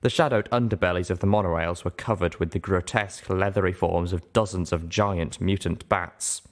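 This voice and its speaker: Casting: male audiobook narrator, low pitch, measured dramatic storytelling. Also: fairly even intonation. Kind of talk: scripted first-person story narration.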